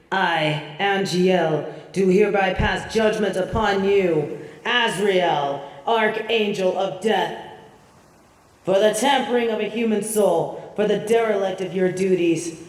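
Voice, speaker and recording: A woman's voice: fairly low pitch, a little mellow. A woman playing a proud, imperious angel in a fantasy audio drama, with formal, solemn and haughty diction. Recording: roomy echo, distant from the mic.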